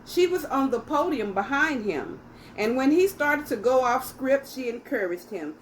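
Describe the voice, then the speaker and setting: A woman's voice: sits high, animated, moderate pace, slight background noise, poor audio. A woman hosting a children's story time, reading aloud and speaking directly to young listeners in a teacherly way.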